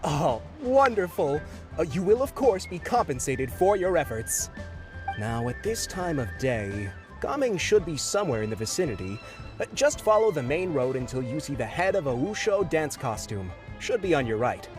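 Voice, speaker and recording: An actor playing a busy, polite male office clerk in a video game, speaking in a businesslike, slightly hurried way. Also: a little high, big pitch swings, over music.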